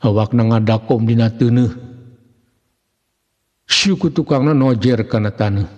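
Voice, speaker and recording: A man with a Sundanese accent narrating a folk tale in a theatrical, expressive way, with a husky voice. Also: medium pitch, a little slow, lo-fi sound. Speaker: storyteller. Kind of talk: dramatic scripted narration of a folk mystery tale. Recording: long hall-like reverb.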